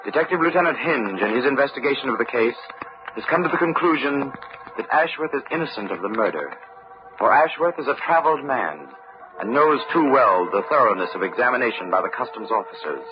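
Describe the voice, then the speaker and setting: A man's voice: mid-range voice, animated, brisk, highs cut off, noisy background. A man giving scripted dramatic narration as the storyteller and announcer of an old-time radio mystery. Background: music.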